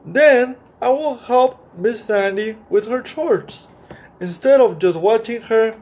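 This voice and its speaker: A man's voice: very high-pitched, slow pace, a little mellow. A man hosting a children's story time, reading a picture book aloud and explaining it directly to young listeners.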